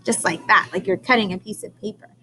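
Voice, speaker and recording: A woman's voice: mid-range voice, fairly even intonation, fast, pushed and bright. A woman teacher leading a read-aloud lesson for young children, prompting with questions and carefully sounding out key words. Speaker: early-grades teacher.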